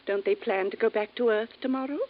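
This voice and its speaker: A woman's voice: medium pitch, quick pace, lightly acted. An actress playing a fussing, doting Martian mother in an old radio drama, fretful and coddling. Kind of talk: 1950s radio drama acting. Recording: muffled vintage radio recording.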